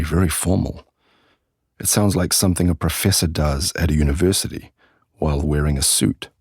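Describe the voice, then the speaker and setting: A middle-aged man with an English accent teaches a podcast lesson in a very low, slightly husky voice. He talks clearly and deliberately, slowing down on key words and example sentences. The sound is close and dry. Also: a little mellow, dry recording. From England.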